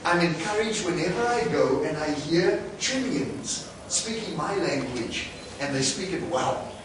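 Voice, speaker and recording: A man's voice: on the high side, animated, unhurried. A South African man in his forties with a South African accent, giving an encouraging, chatty guest talk to a class and laughing between points. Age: 40s. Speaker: guest speaker and English teacher. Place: large echoey classroom. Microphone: distant camera mic. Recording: echoey room from far off, noisy.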